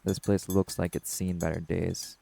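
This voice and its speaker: Man acting gravelly, surly young werewolf punk. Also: flat delivery, normal speed, mellow, full character voice. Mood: irritated, curt. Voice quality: gravelly, low. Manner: curt, sarcastic, dismissive. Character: brash, surly young male werewolf punk musician, guarded and rude. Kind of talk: dramatic audio roleplay acting.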